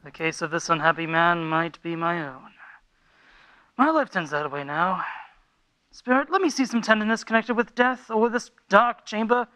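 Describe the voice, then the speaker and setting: An actor playing a frightened, repentant old miser in a theatrical radio play, earnest and pleading. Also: male voice, high-pitched, moderate pace, sharp tone, no echo, poor audio. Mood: fearful, subdued.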